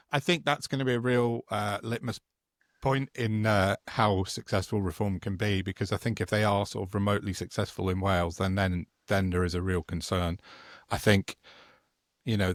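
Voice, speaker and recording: A man's voice: lowish voice, little pitch movement, normal speed, dry recording, studio quality. A man co-hosting a political podcast, chatting casually with frequent restarts and wry remarks. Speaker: podcast co-host.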